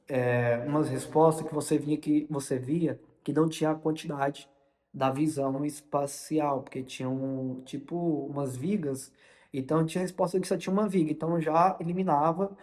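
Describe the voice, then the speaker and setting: A young man with a Brazilian Portuguese accent tells a story informally, in a halting way, often repeating and correcting his words. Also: a little high, darkish tone. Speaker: vlogger recounting personal experience. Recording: long reverberant room echo.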